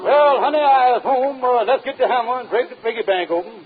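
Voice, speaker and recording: An actor playing a blustery, conniving middle-aged husband in a vintage radio comedy, theatrical and folksy. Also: male voice, extremely high pitch, a little fast, over loud music. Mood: eager. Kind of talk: 1950s radio situation comedy acting. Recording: tinny, narrow old radio recording.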